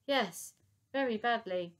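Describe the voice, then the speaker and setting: A woman's voice: a little high, takes it slowly. A woman doing a dry unflustered wounded man. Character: stubborn wounded man with wooden leg.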